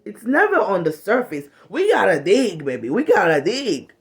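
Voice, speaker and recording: Frank, animated woman from Cameroon. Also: mid-range voice, normal speed. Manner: frank and animated, swearing freely, acts out little quoted lines. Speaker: vlogger. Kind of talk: casual talk to camera on trauma healing. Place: small bedroom indoors. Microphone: phone camera at arm's length.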